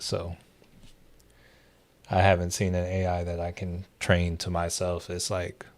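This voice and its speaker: A young man hosting a livestream, chatting loosely with viewers, full of fillers and restarts. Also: deep voice. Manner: rambling, many fillers and restarts. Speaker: livestream host. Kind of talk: casual livestream chat with viewers.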